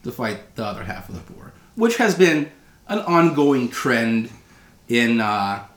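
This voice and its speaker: A man's voice: mid-range voice, lively intonation, unhurried, fairly bright tone, reverberant. A man co-hosting a podcast, chatting casually and drawing out political points. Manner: musing, draws political points. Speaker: podcast co-host. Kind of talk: casual chat.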